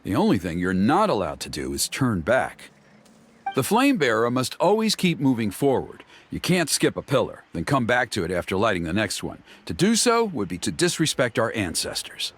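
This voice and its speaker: Earnest, highly animated young tribesman. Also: normal speed, slight background noise. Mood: reassuring. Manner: explanatory, earnest. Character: earnest young tribesman explaining a sacred ceremony. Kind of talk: video game character voice acting. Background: music.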